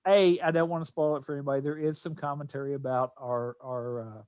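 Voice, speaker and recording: A man's voice: a little high, fairly even intonation, fast talker, a little mellow, clear, resonant tone, dry recording. An American man hosting a podcast in casual chat, rambling and often doubling back on his phrases. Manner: rambling, repeats and restarts phrases. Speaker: podcast host.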